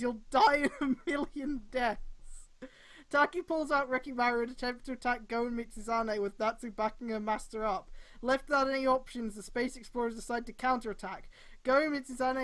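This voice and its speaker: Bright, high-voiced woman mockingly reading aloud. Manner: exasperated outbursts, drawn-out emphasis, swearing. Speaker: streamer reading fan fiction aloud. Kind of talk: mocking live reading with commentary.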